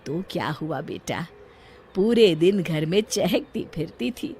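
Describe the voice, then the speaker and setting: Woman playing a patient, unhurried motherly mother-in-law. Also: fairly low pitch, animated, heavy background noise. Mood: coaxing. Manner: soothing, motherly. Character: gentle, patient middle-aged mother-in-law, peacemaking mother.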